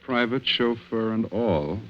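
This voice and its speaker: An actor playing a wry, casual police detective in a 1940s radio drama. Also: male voice, fairly low pitch, expressive, takes it slowly, lo-fi sound. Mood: casual, concerned. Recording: noisy vintage 1940s radio recording.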